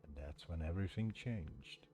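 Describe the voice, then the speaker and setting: A man's voice: a bass voice, normal speed, dark tone. A man giving casual let's-play commentary in a musing, understated way. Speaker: video game streamer. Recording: clear music behind the voice. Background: music.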